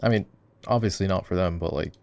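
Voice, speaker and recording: Low, gravelly young-sounding gamer guy. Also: brisk, a little mellow, no room sound. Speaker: voice actor playing a gamer boyfriend, coaching a game. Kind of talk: casual ASMR roleplay chat while gaming.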